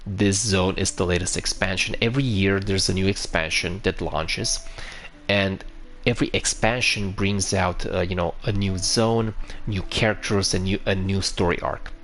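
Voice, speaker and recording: A man's voice: low voice, steady tone, moderate pace, fairly bright tone. A man from Romania with a Romanian accent, chatting casually on a live stream, answering viewers and explaining a game with frequent restarts.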